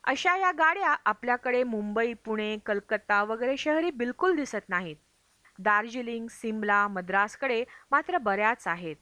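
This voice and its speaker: A woman's voice: on the high side, expressive, normal speed, pushed and bright, no room sound. A woman reading a travel memoir aloud as scripted audiobook narration. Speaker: audiobook reader.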